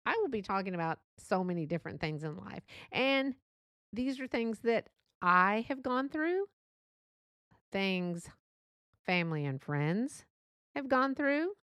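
A woman's voice: medium pitch, big pitch swings, slow pace, long pauses, a bit bright, no room sound. Woman, middle-aged, Texan accent, podcast host, chatty, rambling, dry close recording. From Texas. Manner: chatty, rambling, self-deprecating. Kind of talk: unscripted solo podcast monologue.

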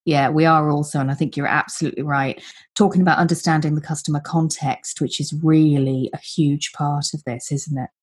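Low, husky woman hosting a chat. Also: fairly even intonation, a little fast. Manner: affirming, asks tag questions. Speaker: podcast host. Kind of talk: conversational interview talk.

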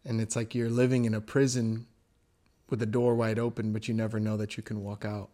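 A man's voice: on the low side, flat delivery, fairly quick, warm tone. Blunt, impassioned young male podcaster. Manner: blunt, impassioned, restarts phrases. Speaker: podcast co-host. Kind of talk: casual faith podcast chat.

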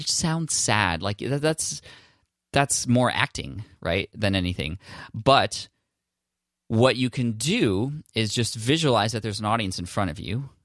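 A man's voice: mid-range voice, fairly bright tone, no echo, clean studio sound. A man hosting a podcast, talking casually and encouragingly, often restarting his phrases. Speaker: podcast host. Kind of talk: casual advice monologue. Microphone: podcast microphone, standing.

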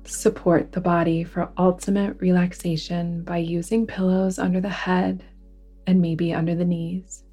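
Woman, meditation guide, husky soft-edged voice, even intonation, soothing and deliberate, faint music behind. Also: on the low side. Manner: soothing, deliberate, hushed phrase endings. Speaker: yoga nidra guide. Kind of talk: guided relaxation meditation narration.

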